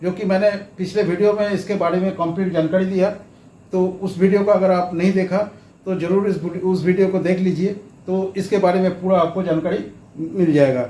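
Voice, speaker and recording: A man giving a step-by-step tutorial, explaining haltingly and repeating himself, with English terms mixed in. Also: sits high, steady tone, warm tone. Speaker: instructor, tutorial presenter. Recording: roomy echo, faint background noise.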